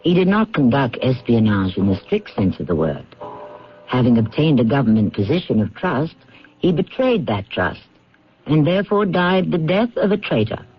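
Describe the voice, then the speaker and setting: A man hosting a radio mystery series, narrating in a measured, formal, rhetorical way on a dull old broadcast recording. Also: mid-range voice, very animated, slightly rough. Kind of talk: scripted radio drama narration.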